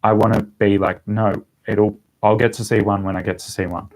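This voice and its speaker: A man's voice: fairly low pitch, level tone, brisk, poor audio. A man with an Australian accent chatting casually as he answers viewers' questions on a livestream. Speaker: language-learning vlogger answering viewer questions.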